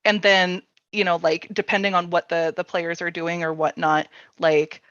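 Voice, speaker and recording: A woman chatting casually as a workshop panelist about storytelling, in halting phrases peppered with "like". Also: fairly low pitch, level tone, a bit bright. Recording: roomy echo, slightly dull sound.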